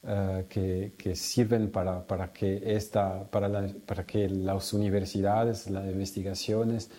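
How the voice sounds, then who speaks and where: A male researcher speaking in a halting way, with many fillers and restarts. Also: deep voice, little pitch movement, warm tone. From Italy. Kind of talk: interview remarks.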